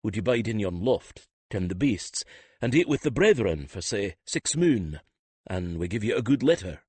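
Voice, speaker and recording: A man's voice: on the low side, big pitch swings, moderate pace, darkish tone, slightly rough, no echo, voice pushed up. A man voicing a weary but kindly male priest of a poor temple, with rustic, old-fashioned speech. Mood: thoughtful.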